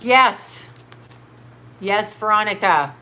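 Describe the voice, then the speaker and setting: Bright-voiced stuffy-nosed woman. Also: mid-range voice, animated, extremely slow. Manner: blunt, swears, laughs often, scattered. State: stuffy nose. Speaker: tarot reader answering live chat. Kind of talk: casual live-stream chat and card reading.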